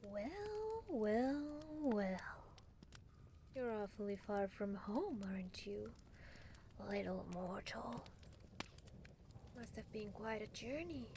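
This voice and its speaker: Woman acting a haughty, slow-talking demon lady. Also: medium pitch, sing-song, noisy background. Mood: curious, condescending. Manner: condescending, breathy murmurs, theatrical drawn-out phrasing. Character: haughty, teasing female demon lord, regal and amused. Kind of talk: ASMR roleplay acting.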